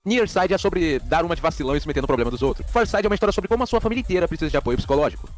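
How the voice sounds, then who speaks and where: Rapid, lively Brazilian man over music. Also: a little high. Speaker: video essay narrator. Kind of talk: scripted narration with slangy asides. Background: background music.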